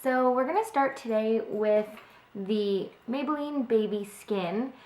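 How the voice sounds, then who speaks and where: A woman's voice: a little high, unhurried, noisy background. Young woman kinda sick doing a chatty makeup talk-thru, lotsa ums, echoey kitchen on a webcam. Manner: chatty, with frequent ums and apologies. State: slightly sick, voice a bit off. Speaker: beauty vlogger. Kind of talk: casual makeup tutorial talk-through. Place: home kitchen by a window, indoors. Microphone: webcam at close range. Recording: echoey room sound.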